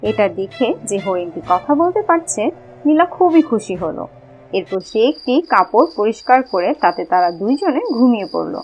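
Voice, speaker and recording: A woman's voice: medium pitch, sing-song, moderate pace, muffled recording, faint music behind, natural voice. A woman narrating a scripted fairy-tale cartoon as a storyteller.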